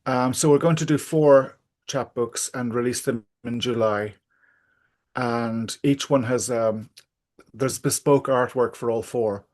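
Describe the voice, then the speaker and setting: A middle-aged or older man, talking casually and reflectively as an interview guest, pausing often to think aloud. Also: medium pitch, fairly even intonation, darkish tone.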